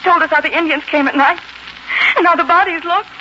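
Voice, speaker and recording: An actress playing an intense, devoted young frontier bride in a heartfelt, dramatic 1940s radio style. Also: female voice, very high voice, fairly quick, bright, forceful tone. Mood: fearful, pleading. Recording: noisy, muffled 1940s radio recording.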